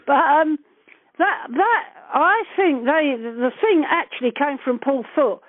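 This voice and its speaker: Older female, high-pitched, plain-spoken and talkative, recalling old times down a dry, narrow phone line. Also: slow pace, no echo. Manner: chatty, earthy and blunt, swears casually. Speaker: interviewee recalling past events. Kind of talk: casual phone reminiscence. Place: on a phone call. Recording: narrowband telephone call, dry.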